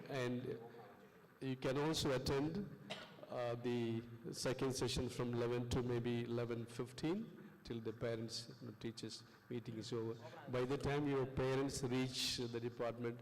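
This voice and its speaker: A man's voice: medium pitch, fairly even intonation. South Indian man lecturing in an echoing hall. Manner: halting, repetitive. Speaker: lecturer and session host. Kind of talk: informal spoken classroom instructions. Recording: long echoing hall reverb.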